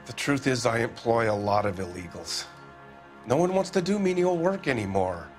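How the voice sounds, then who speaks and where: A blustering, self-important factory boss, middle-aged and defensive, talking his way around every question, as an actor plays him. His voice sits at an ordinary pitch. A music score runs clearly behind him. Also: lively intonation, normal speed, lightly acted. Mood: evasive. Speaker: soup factory owner.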